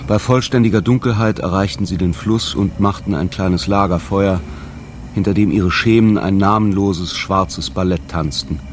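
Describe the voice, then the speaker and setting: Casting: low male narrator for a radio drama, solemn and measured, faint ambience behind. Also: slight background noise.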